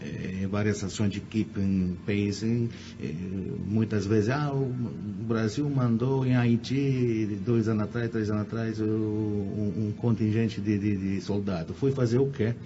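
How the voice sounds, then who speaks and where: A man's voice: fairly low pitch, steady tone, a little slow, hardly any pauses. A man from Italy, speaking with an Italian accent, answers in a radio interview, often repeating words while he searches for the right Portuguese. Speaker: guest lecturer. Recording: muffled radio recording with faint noise.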